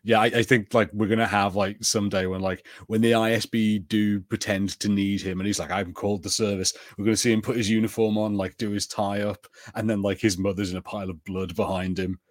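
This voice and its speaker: A fairly low-voiced man co-hosting a podcast, rambling through his points in long loose turns. The sound is dry and close, with no room in it. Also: fairly quick, few pauses, no echo. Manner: rambling, many "like" fillers, animated. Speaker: podcast co-host. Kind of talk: casual chat.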